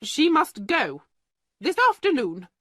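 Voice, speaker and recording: Woman putting on a haughty headmistress, shrill, cold, indignant, storybook reading, slow. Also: big pitch swings, no room sound, clean studio sound, full character voice. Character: haughty, greedy middle-aged headmistress.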